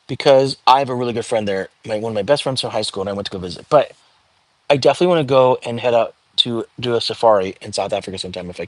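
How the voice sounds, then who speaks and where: A man with a calming, mid-pitched voice hosts a relaxed live stream and talks loosely between viewer comments, full of "um"s and restarts. His voice is recorded dry and close, with no room sound around it. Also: no room sound. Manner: chatty, reads viewer comments aloud, many fillers and restarts. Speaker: livestream host. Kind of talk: casual live-stream chat.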